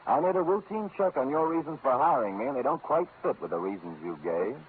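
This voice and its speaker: A man's voice: medium pitch, expressive, fast, close to an everyday voice. A man playing a sardonic, cocky hard-boiled private detective in 1940s radio noir style, with wry, mock-formal wording. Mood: stern. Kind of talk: 1940s radio noir acting and first-person narration. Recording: tinny old radio recording, music behind.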